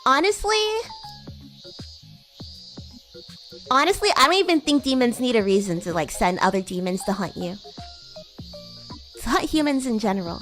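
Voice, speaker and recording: A woman's voice: a little high, sing-song, a little fast, a bit bright, soft background music. A woman voicing a bratty, haughty young demon schoolgirl in an improvised livestream roleplay, teasing and condescending. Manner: teasing, condescending, flustered tsundere denials.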